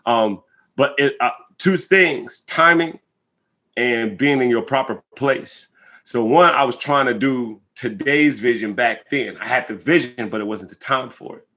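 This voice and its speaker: Male interview guest, American, mid-pitched and bright-edged. Earnest, emphatic, conversational delivery, checking in with the listener. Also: unhurried. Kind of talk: reflective conversational interview answers.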